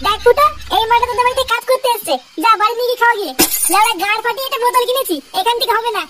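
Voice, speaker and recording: An extremely high, squeaky cartoon young guy. He rattles out crude, excitable banter very quickly, over clear background noise. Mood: irritated, crude. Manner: crude, rapid slangy banter. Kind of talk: comedy cartoon dialogue.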